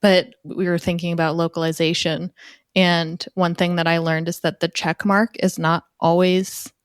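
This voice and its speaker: A woman's voice: fairly low pitch, level tone, moderate pace, clear, resonant tone, dry recording, clean studio sound. A woman speaking casually as a podcast guest, saying "like" often. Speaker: product designer guest. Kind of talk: conversational podcast interview.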